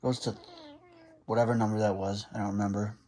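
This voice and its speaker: A man with a fairly low voice talks through setting up a gadget in a casual, unscripted way. He thinks out loud, reading items off one by one and adding his own short comments as he goes, like a hobbyist vlogger. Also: little pitch movement, a little fast. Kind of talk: casual hands-on unboxing and setup narration.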